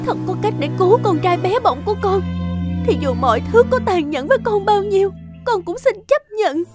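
A desperate, humble young mother in an animated fairy tale. Her voice is extremely high and tearful as she pleads. Clear music plays underneath. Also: brisk. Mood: desperate pleading. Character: distraught young mother pleading for her sick baby son.

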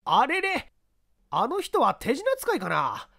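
An excitable young sidekick from a radio mystery, acted by a man. The voice sits high and swings widely, full of colloquial exclamations. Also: slow, creaky, dry recording, clean studio sound, exaggerated voice. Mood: astonished. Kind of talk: radio-drama style mystery reading.

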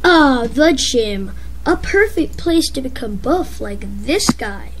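A woman voicing a meek, wronged fat man with a secret vengeful side in an amateur animated skit, speaking earnestly and simply. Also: high voice, slow, slight background noise, heavily acted, low-quality recording. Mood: meek, hurt.

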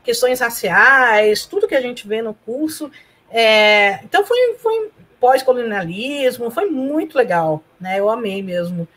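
A woman's voice: fairly high pitch, expressive, measured pace, fairly bright tone. A woman from Argentina whose first language is Spanish, chatting as a guest over a video call, laughing often and tagging her sentences with questions. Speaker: guest, professor and blogger. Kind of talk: casual interview chat. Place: home room.

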